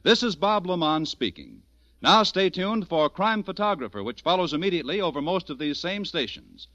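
An American man works as an old-time radio announcer, polished and promotional. His bright, fairly high voice moves with lively inflection over music on a dull vintage recording. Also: moderate pace, no room sound. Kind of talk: 1940s radio announcing, scripted.

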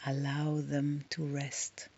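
A woman leading a guided meditation in a soft, soothing, breathy voice, leaving long pauses between short phrases. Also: sits low, monotone, lo-fi sound.

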